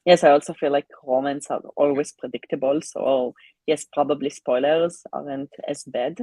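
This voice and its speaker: Woman, live stream co-host, mellow tone, rough-edged clarity, chatty. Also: sits low, steady tone, moderate pace, dry recording. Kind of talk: casual live chat.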